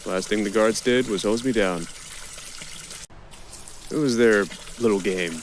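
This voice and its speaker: A man with a low voice tells a story in the first person, as in an audio play. His delivery is wry and theatrical, that of a dramatic storyteller. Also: unhurried, a little hiss or hum. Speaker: actor performing a one-man audio play.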